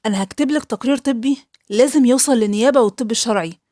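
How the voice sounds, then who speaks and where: Woman playing a calm Egyptian male doctor. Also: on the high side, racing, no room sound, studio quality, lightly acted. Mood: grave. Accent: Egyptian Arabic.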